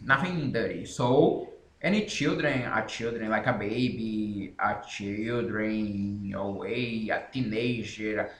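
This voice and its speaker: A Brazilian man whose first language is Portuguese talks in English with a clear Brazilian accent. He speaks very slowly, pausing and restarting his sentences as he searches for words. The room around him gives his voice a noticeable echo. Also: medium pitch, sharp tone. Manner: halting, self-correcting non-native English with frequent pauses. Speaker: independent author. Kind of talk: casual explanatory talk to camera.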